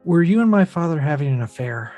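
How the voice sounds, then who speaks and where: A man's voice: a little high, expressive, quick pace, dark tone, no echo, faint music behind, lightly acted. A man playing an earnest, probing adult son, speaking directly and bluntly in a drama table read.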